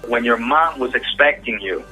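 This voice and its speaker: Husky, bright-voiced male interviewer. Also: medium pitch, expressive, low-quality recording. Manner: halting, repeats phrase openings. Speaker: interviewer, host. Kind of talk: conversational interview. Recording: phone interview with music behind.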